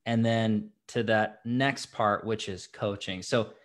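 A man's voice: fairly low pitch, steady tone, slow pace, fairly bright tone. Effusive young male podcast host. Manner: upbeat, effusive, repeats words. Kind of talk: enthusiastic interview hosting. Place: home office, indoors. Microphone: studio mic with headset, video call.

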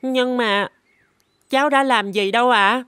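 A woman's voice: fairly high pitch, unhurried, background noise, lightly acted. Woman voicing a meek little cartoon boy. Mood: pleading, frightened. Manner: meek, pleading. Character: timid, innocent poor little boy. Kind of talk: animated folk-tale cartoon dub.

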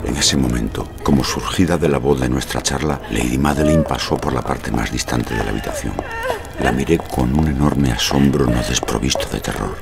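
An actor playing a grave, concerned gentleman in a Gothic audio drama, solemn and measured. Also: very deep voice, creaky, over music. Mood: solemn, uneasy. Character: grave, concerned gentleman visitor recounting a horror.

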